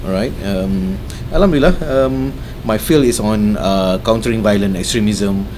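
Man, Malaysian professor, low voice, fluent English, steady background rumble. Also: moderate pace. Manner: fluent, checks in with "alright?". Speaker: guest, professor. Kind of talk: radio interview introduction.

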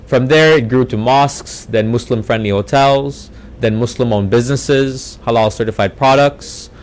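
Easygoing American man, mid-pitched guest. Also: normal speed. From Hawaii, USA. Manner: easygoing, drops in Tagalog words. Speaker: guest, website founder. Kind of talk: interview answers.

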